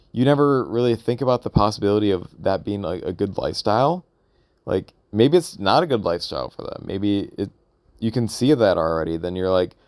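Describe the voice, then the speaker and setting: A man's voice: fairly low pitch, a little mellow, dry recording, clean studio sound. A man thinking aloud in a casual, unscripted vlog monologue, hesitant and rambling as he works through his thoughts. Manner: hesitant, halting, rambling with repeated questions. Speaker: vlogger recording an audio log.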